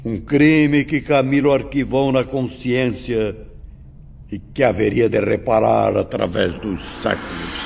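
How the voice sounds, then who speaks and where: A man's voice: mid-range voice, fairly even intonation, a little slow, gravelly, darkish tone. A man playing a grave, moralising spirit teacher who instructs pupils in an oratorical audiobook style. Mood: solemn reproach. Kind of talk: dramatised audiobook lecture. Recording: muffled, noisy ambience.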